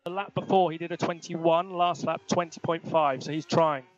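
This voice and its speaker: A man's voice: a little high, fairly even intonation, normal speed, noisy background. Clipped male race engineer, dull radio sound. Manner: clipped, matter-of-fact. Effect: team radio transmission. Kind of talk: terse radio instructions to a racing driver. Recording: muffled team radio channel.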